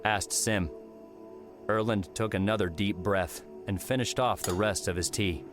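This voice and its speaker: Low-voiced man narrating over music. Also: fairly even intonation, a little slow. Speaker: audiobook narrator. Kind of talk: scripted fiction narration.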